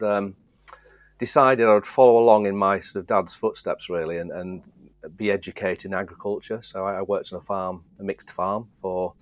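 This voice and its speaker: Middle-aged guest, very low voice, dry close sound, loose rambling delivery. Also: male voice, no room sound. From Yorkshire, England. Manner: easygoing, rambling, frequent restarts. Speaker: guest, distillery co-founder. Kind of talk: casual interview chat.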